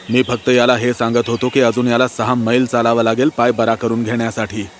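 A man voicing a stern, misunderstood elder prince in an animated fairy tale, firm and earnest. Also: mid-range voice, moderate pace, a little hiss or hum, close to an everyday voice.

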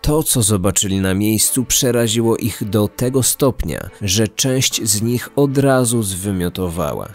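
Low-voiced male true-crime narrator. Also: expressive, unhurried, few pauses, a little mellow. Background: background music.

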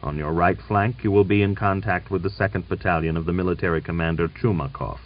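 Man acting out a blustering, hoarse, ageing general, dull recording. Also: low voice, steady tone, quick pace, close to an everyday voice. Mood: gruff, commanding. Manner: blustering, commanding. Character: irascible ageing imperious male general, barking orders.

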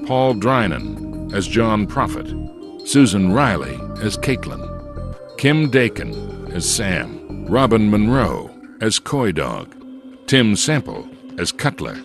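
A man's voice: lowish voice, huge pitch swings, takes it slowly, creaky. A man reading scripted credits as the announcer of a radio drama. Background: music.

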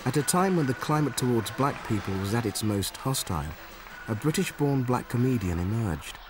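A man narrating a documentary in a measured, scripted way. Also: mid-range voice, expressive, background noise.

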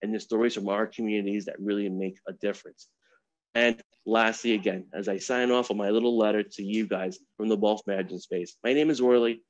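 A man's voice: lowish voice, fairly even intonation, fairly quick, no room sound. A man who works in wealth management, giving an earnest prepared talk on a video call in short emphatic phrases. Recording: dry, slightly dull video call audio.

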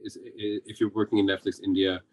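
A man's voice: fairly low pitch, little pitch movement, fairly quick. A man in his forties, a TV director, talking casually on a video call from home, thinking aloud with restarts. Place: home room.

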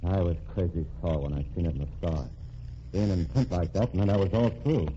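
A man's voice: deep voice, a little fast, dark tone, natural voice. An actor playing a cocky, bitter jailed gangster in 1940s radio drama style, with slangy tough-guy talk. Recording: dull lo-fi old radio recording with faint hiss.

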